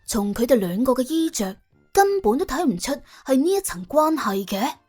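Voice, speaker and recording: Female audiobook narrator, Cantonese, higher register, animated dramatic delivery with a wry, chatty edge. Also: normal speed, soft background music. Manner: wry, colloquial, exclamatory. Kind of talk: dramatic scripted storytelling.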